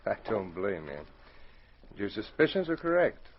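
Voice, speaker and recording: A man's voice: lowish voice, very animated, moderate pace, a little hiss or hum. An actor in a 1940s radio drama playing a frank, resigned accused man, plain-spoken and wry. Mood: frank, insistent. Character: frank, resigned accused robber protesting his innocence. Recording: muffled 1940s radio recording.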